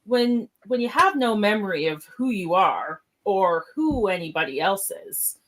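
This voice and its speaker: A woman's voice: medium pitch, very animated, fairly bright tone, poor audio. Woman as a hesitant, earnest starship officer. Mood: sheepish. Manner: hesitant, halting. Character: awkward, earnest male starship first officer. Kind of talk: amateur radio play script reading.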